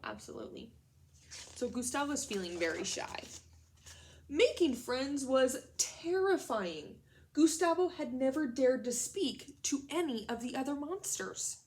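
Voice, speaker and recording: Female elementary teacher, slightly high voice, guiding a children's read-aloud with clear, simple prompts. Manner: instructional, addresses children with simple questions and prompts.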